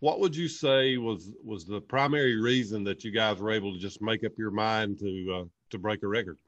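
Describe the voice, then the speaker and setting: A man in his forties hosting a podcast interview over a video call, upbeat in his intro and relaxed when asking questions. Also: fairly low pitch, normal speed, muffled recording. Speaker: podcast host. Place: small indoor room.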